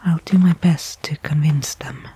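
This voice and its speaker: A woman playing a weary but hopeful stranded space worker in a whispered ASMR sci-fi roleplay, speaking in hushed, reflective narration with pauses. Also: deep voice, husky, heavily acted.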